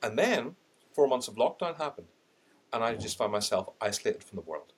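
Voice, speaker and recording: A man's voice: medium pitch, normal speed, breathy edge. A middle-aged man with an Irish accent, a podcast guest telling his story candidly and animatedly, acting out what he thought at the time. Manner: animated, acts out quoted thoughts with laughing asides. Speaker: podcast guest, sales and LinkedIn trainer.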